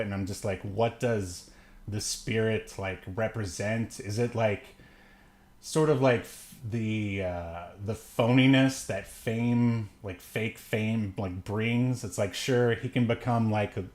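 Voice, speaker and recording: Man musing in a halting ramble. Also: lowish voice, darkish tone. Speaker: podcast co-host reviewing films. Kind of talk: casual film-review chat.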